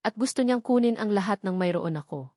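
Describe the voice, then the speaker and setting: A woman delivers scripted dramatic narration in the first person. The sound is dry, with little room around the voice, and the pitch sits in an ordinary female range. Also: lively intonation, brisk, mellow, no room sound. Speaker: story narrator.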